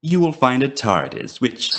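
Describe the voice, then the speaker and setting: A man's voice: medium pitch, sing-song, measured pace, a bit bright. An actor playing a sinister, playful immortal toymaker in an audio drama, theatrical and taunting in his mock courtesy. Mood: teasing.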